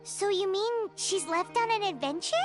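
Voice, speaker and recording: A woman voicing a small, childlike fairy companion in a video game, curious, concerned and expressive, with a squeaky voice. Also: normal speed. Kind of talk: scripted video game voice acting. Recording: game music behind the voice.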